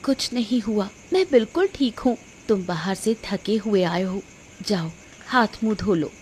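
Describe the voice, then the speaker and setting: A woman's voice: mid-range voice, lively intonation, measured pace, darkish tone, slight background noise, lightly acted. Woman acting a humble, earnest village wife. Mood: evasive, sad. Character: humble, wronged village wife, pleading yet dignified. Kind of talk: cartoon story character acting. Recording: music under the voice.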